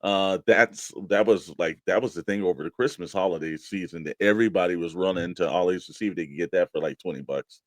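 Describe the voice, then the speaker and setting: Guy with a lowish voice, dry close sound, just chatting as a show cohost. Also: fast, hardly any pauses, no echo. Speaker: toy talk show co-host. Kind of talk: casual chat about toy collecting.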